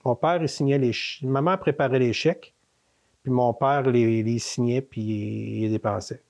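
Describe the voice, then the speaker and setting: A man in his fifties speaks with a Quebec French accent, at a mid pitch. He rambles through casual stories, circling back with fillers and restarts. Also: normal speed, gravelly, darkish tone. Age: 50s. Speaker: interview guest, manor co-owner. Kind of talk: casual storytelling interview.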